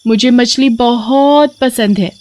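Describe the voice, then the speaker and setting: A woman's voice: on the high side, takes it slowly, dark tone, voice pushed up. Woman voicing a coaxing witch bride. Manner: coaxing, then menacing. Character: seductive female witch, cruel and bloodthirsty.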